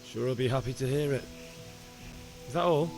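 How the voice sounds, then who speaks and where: A man's voice: medium pitch, normal speed, creaky, darkish tone, soft background music, lightly acted, rough recording. A man playing a cheeky, eager young blacksmith's son in a medieval video game. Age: young adult. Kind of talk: video game cutscene acting.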